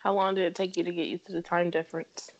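A woman's voice: on the low side, little pitch movement, fast, dull audio. A woman hosting a podcast, asking questions in a playful, teasing, upbeat way. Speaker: podcast host. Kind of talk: casual interview chat.

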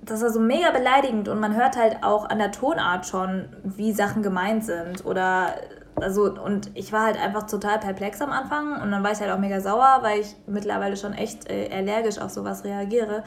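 Young woman from Germany, lively storyteller. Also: medium pitch, fairly quick. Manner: lively storytelling, acts out quoted people. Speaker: radio show co-host. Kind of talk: casual radio chat.

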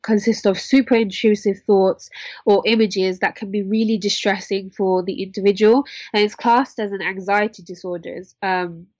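A woman's voice: medium pitch, no echo. Young woman, earnest explainer, dry sound. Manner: earnest, explanatory, hedging with "sort of". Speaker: podcast guest, mental health worker. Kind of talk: conversational explanation in a podcast interview.

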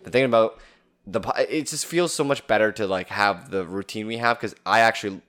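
A man's voice: lowish voice, a little fast, fairly bright tone. A man co-hosting a podcast in casual chat, talkative and rambling. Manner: talkative and rambling, with many fillers and restarts. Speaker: podcast co-host.

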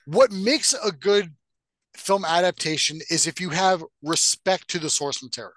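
A man's voice: high voice, normal speed, a bit bright, dry recording, low-quality recording. A man co-hosting a podcast in casual, opinionated chat about entertainment, blunt and given to casual swearing. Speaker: podcast co-host.

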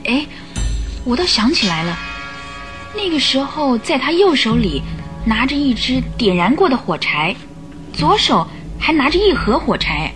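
A woman's voice: sits high, big pitch swings, unhurried, music in the background. An actress in a radio drama playing an earnest, troubled young woman who gives careful, emotive accounts. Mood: earnest, recalling. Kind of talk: old Chinese radio drama acting.

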